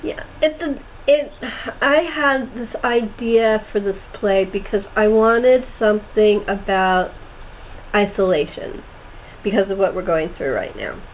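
A woman's voice: medium pitch, a little slow. A woman hosting a casual, chatty group interview as the playwright and director. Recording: tinny phone-like call with hiss.

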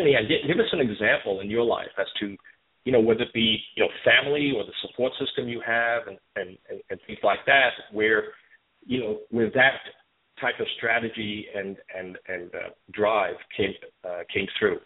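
Through a narrow, phone-like sound comes a man with a slightly low voice, hosting an interview. He talks conversationally and appreciatively, in a halting way with repeated words. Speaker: show host, interviewer.

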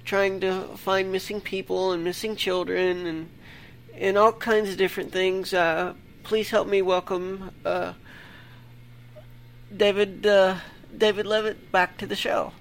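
A man's voice: high-pitched, flat delivery, a little slow. A man hosting his own interview show, talking loosely and rambling with fillers. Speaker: podcast host. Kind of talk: casual interview. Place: home desktop recording setup.

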